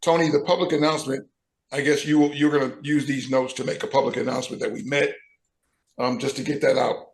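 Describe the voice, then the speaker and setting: Hoarse man chairing an online meeting. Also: mid-range voice, flat delivery, echoey room, rough recording. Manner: businesslike, halting restarts. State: losing his voice. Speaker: committee chair. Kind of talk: online meeting, leading votes. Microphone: video call.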